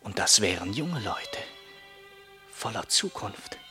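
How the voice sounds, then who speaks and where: A man's voice: on the low side, sing-song, slow pace, pushed and bright, soft background music, exaggerated voice. An actor in a German radio play voicing a tormented young man tempted to murder, in an intense inner monologue that moves between whispers and agitated outbursts. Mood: scheming, brooding.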